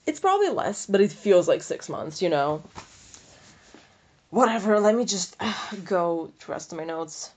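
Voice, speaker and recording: Casual, opinionated woman with a lowish voice. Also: sing-song, moderate pace, darkish tone. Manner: rambling, opinionated, thinking aloud; informal, slangy, freely swearing. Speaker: book review podcast host. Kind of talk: casual unscripted book discussion.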